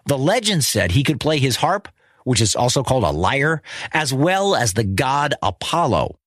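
A man's voice: medium pitch, very animated, normal speed, a bit bright, dry recording, studio quality. A man telling a scripted children's story as host, in a wry, conversational manner with dramatic emphasis. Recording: music behind the voice. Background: music.

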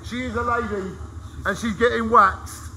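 A man's voice: very high voice, steady tone, normal speed, pushed and bright, slight background noise, rough recording. A middle-aged man filming himself on his phone at an outdoor car wash, chatting to viewers like a showman. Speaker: vlogger. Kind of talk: cheerful vlog commentary. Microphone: phone held at arm's length.

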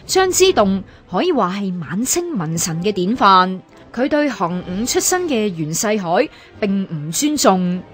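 A woman's voice: medium pitch, very animated, unhurried. A female narrator with a Hong Kong Cantonese accent reads scripted history. Her mid-range voice rises and falls expressively, with music playing underneath.

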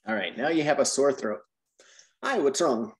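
An English teacher tutoring a student, gently correcting and modelling phrases slowly. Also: mid-range voice, animated.

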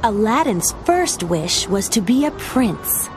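A woman reading a children's storybook aloud as narrator, with expressive scripted storytelling delivery. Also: on the high side, slow. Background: background music.

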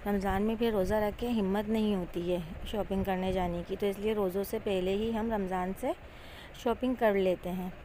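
A woman's voice: mid-range voice, fairly even intonation, moderate pace, mellow. A woman casually narrating a vlog as she goes about. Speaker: vlogger, mother. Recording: clear outdoor street and vehicle noise.

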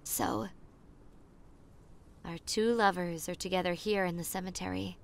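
A woman's voice: lowish voice, steady tone, measured pace, creaky. A woman playing a popular girl telling ghost stories to her secret crush in a teasing, suspenseful ASMR roleplay. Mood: hushed, suspenseful. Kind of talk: roleplay ASMR, hushed dramatic storytelling.

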